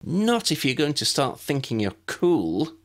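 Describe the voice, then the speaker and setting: A man's voice: a little high, sing-song, a little slow, pitched above the performer's own voice. A man voicing an earnest, teasing young girl student in an audiobook. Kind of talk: audiobook character voice.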